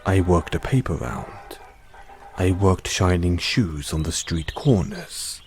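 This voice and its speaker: Man playing a deep-voiced, slow, formal butler. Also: a little hiss or hum. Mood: solemn, restrained. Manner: measured, formal, deferential, solemn. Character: formal, devoted male butler with a painful past. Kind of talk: scripted audio roleplay, addressing the listener.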